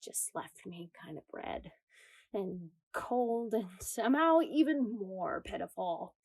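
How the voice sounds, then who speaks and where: A woman spiraling into existential dread in a radio comedy. She speaks slowly and confesses with wry, self-mocking drama, swearing as she goes. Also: medium pitch, lively intonation, darkish tone, breathy edge, full character voice.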